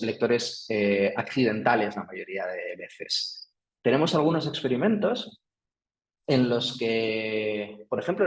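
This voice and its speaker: A man's voice: mid-range voice, rough recording. A man with a Peninsular Spanish accent giving an academic lecture, explaining patiently with frequent "eh" fillers and "¿sí?" check-ins. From Spain. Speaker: guest lecturer.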